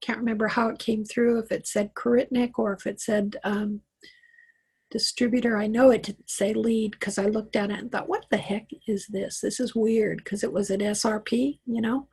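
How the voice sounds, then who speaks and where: A woman's voice: a little high, fairly even intonation, moderate pace, a little mellow, husky, low-quality recording. A middle-aged woman from Wyoming, a computer coach chatting through a live online tech class, reassuring and full of fillers and restarts. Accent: Western American with a slight Southern tinge. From Wyoming, USA. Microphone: computer mic on a video call.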